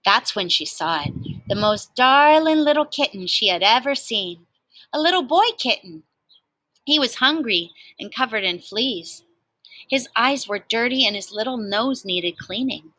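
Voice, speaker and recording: A woman reads a picture book aloud as a teacher would for young children. Her voice is bright and forward, recorded dry with hardly any room sound. She tells the story expressively and speaks directly to her listeners. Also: on the high side, lively intonation, unhurried, no room sound.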